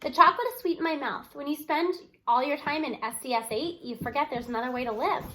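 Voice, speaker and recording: High-voiced woman reading a story aloud. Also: fast talker, sharp tone, poor audio. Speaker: read-aloud narrator. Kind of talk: expressive children's novel read-aloud, first person.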